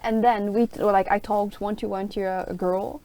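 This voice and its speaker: A young woman student teacher talks about her internship. Her pitch sits at a middle level. She speaks in a chatty, casual way and fills her sentences with "like". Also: fairly even intonation, brisk, dark tone, low-quality recording. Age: young adult. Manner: chatty, leans on "like". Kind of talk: casual discussion.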